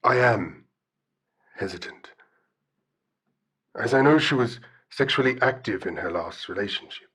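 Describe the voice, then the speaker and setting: An actor playing a solemn, shy, bear-like guardian spirit in an audio drama, speaking formally and hesitantly. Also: male voice, mid-range voice, animated, unhurried, pauses often, a bit bright, husky, heavily acted. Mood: hesitant, awkward. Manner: formal, hesitant, articulate speech. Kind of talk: audio drama radio call-in acting.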